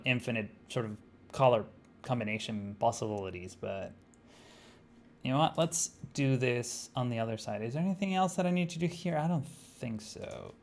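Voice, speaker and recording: Man, Argentine accent, native Spanish speaker, gravelly voice, easygoing stream chatter, lots of ums and uhs. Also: mid-range voice, slight background noise. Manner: relaxed, thinking aloud, many fillers. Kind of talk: live-streamed gameplay chat.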